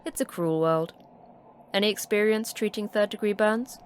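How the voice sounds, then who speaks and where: An actress playing a terse, sardonic manager of a secret polar facility in an audio drama, with a dry, curt delivery. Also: female voice, medium pitch, normal speed, a little hiss or hum, lightly acted. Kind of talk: audio fiction acting.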